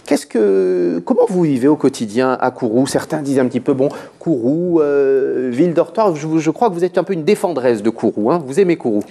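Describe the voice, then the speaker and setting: A man's voice: a little high, lively intonation, a little fast, vocal fry, hardly any pauses, warm tone. A man hosting a TV talk show, teasing his co-host and greeting his guest in a playful, mock-formal way.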